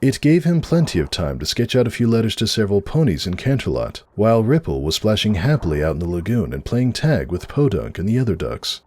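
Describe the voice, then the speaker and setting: A man's voice: fairly low pitch, big pitch swings, brisk, hardly any pauses, a little mellow. A man reading a story aloud as a narrator, in a scripted dramatic storytelling style. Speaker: fan fiction narrator.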